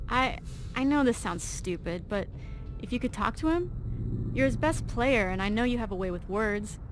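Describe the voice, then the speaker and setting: Noisy recording, woman acting as teenage vault girl, game dialogue, anxious, driven, chatty, earnest, slangy, medium pitch. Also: natural voice.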